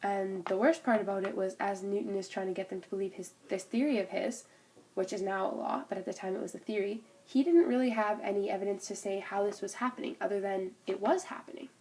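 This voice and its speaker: A woman's voice: mid-range voice, fairly even intonation, fast, darkish tone, slight background noise. A young woman with a cold and a stuffed-up nose, casually explaining a physics topic for a class recording, with fillers and restarts. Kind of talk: informal spoken class presentation.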